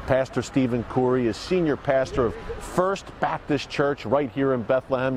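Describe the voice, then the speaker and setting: Male host with faint background noise. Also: mid-range voice. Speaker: host and interviewer. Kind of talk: presenting to camera and interviewing.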